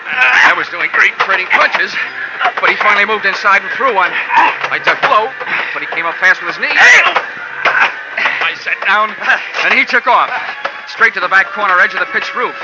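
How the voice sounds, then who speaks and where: A man's voice: high voice, expressive, moderate pace, long pauses. An actor playing a wry, hard-boiled male insurance investigator who narrates in dry, wisecracking first person, in 1950s radio drama style. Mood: tense. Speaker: narrating detective lead. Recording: dull old radio recording with music.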